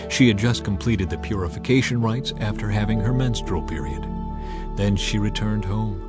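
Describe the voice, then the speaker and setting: A man giving a measured, solemn, dramatized narration of scripture as an audiobook reader. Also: on the low side. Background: music.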